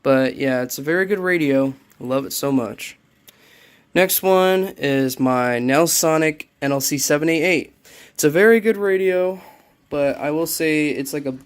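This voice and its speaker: A man's voice: medium pitch, clear, resonant tone. Man casually rambling through a show-and-tell. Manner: halting, rambling, repeats himself. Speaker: vlogger showing a personal collection. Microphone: handheld phone.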